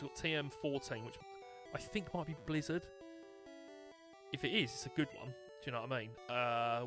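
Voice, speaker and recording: A young man with a British accent talks through a live game stream in a loose, chatty way, full of fillers and quick self-corrections. His voice sits at an ordinary middle pitch. A faint game tune plays underneath. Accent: British English. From United Kingdom. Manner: chatty and animated, with lots of fillers and restarts. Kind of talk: live gameplay commentary.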